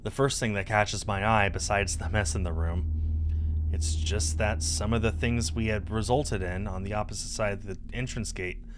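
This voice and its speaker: Low-voiced man narrating a visual novel. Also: fairly even intonation, moderate pace, background noise. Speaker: streamer. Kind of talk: scripted narration of a visual novel, read aloud. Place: indoor streaming setup. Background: game music.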